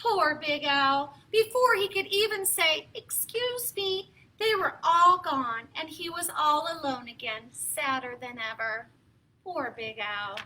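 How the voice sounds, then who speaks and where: A woman's voice: sits high, poor audio. A woman hosting a children's storytime, reading aloud in an exaggerated, playful, theatrical way. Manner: exaggerated, playful, expressive delivery. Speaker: children's storytime host and reader.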